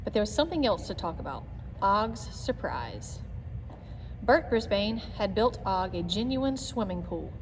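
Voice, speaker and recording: A woman's voice: medium pitch, very animated, a little slow. Woman reading a children's story, very echoey. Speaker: read-aloud reader. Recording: long echoey reverb, background noise.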